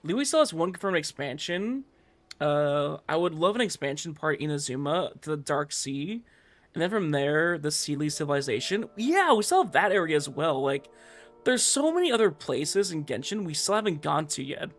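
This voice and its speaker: A man with a fairly high voice chats loosely on a gaming livestream. He rambles in informal slang and voices imagined quotes as he goes, with faint music playing behind him. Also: big pitch swings, normal speed, no room sound. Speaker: livestream host. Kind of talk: casual chat while streaming a game.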